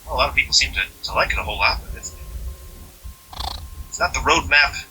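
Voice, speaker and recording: Bright, folksy otherworldly husband figure, played by a man. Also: medium pitch, animated, poor audio. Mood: searching, patient. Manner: folksy, hesitant, drawn-out pauses. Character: otherworldly trickster wearing a gentle, folksy dead husband's manner. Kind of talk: audio drama acting. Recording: faint background noise.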